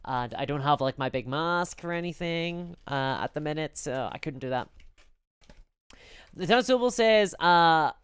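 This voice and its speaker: A woman with a Belfast accent, chatting casually and candidly as a live streamer in an animated, conversational way. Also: low-pitched, normal speed, clear, resonant tone, dry recording. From Northern Ireland. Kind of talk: casual chat to a live audience.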